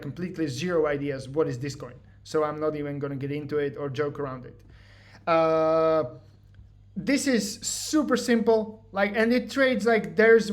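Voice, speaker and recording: A man with a Slovak accent walking through trading charts in a casual, joking way, mocking himself and filling his talk with "like" and "you know". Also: high-pitched. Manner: joking, self-mocking, filler-heavy. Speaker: trading educator. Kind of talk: casual screen-share walkthrough.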